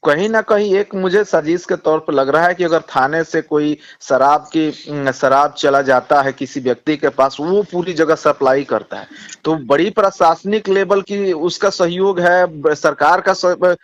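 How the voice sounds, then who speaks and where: A man with a Bihari accent speaking as a politician in a phone interview, insistent, repeating himself and halting as he argues. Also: on the high side. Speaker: communist party politician. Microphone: phone call. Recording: dull lo-fi phone call recording.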